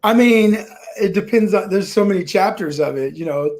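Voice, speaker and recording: A man's voice: sits high, lively intonation, normal speed, darkish tone. A man in his forties from Kansas, telling his life story as an interview guest on a video call from home, upbeat and optimistic with frequent restarts. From Kansas, USA. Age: 40s. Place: home room.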